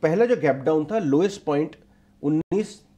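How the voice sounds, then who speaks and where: A man's voice: on the high side, lively intonation, moderate pace, warm tone, muffled recording. A middle-aged man anchoring live market commentary from a TV studio on a lapel mic, explaining and repeating key points for emphasis. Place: TV news studio.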